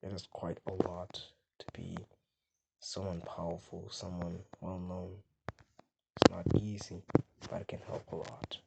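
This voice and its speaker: Deep gravelly young man, noisy recording. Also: level tone, unhurried. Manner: rambling, soft and mumbled at times, hard to hear. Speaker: gaming vlogger. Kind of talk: casual rambling talk to camera. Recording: loud background noise with mouse clicks.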